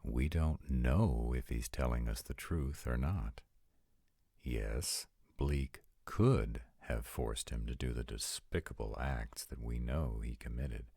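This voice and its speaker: Man acting very deep, gravelly vampire nobleman. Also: mellow, dry recording, clean studio sound, heavily acted. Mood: measured, guarded. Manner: formal, deliberate phrasing. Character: commanding, arrogant male vampire nobles in tense parley. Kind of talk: dramatic roleplay audio acting.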